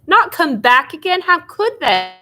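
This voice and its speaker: A woman's voice: extremely high pitch, big pitch swings, a little slow, bright, forceful tone, pitched above the performer's own voice, exaggerated voice. A woman voicing a frightened, defiant young girl in a storybook, protesting emotionally. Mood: shocked.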